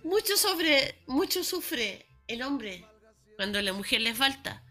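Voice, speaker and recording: A woman's voice: high voice, very animated, slow, sharp tone, soft background music. A woman hosting a casual radio chat, joking with her guests. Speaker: radio host.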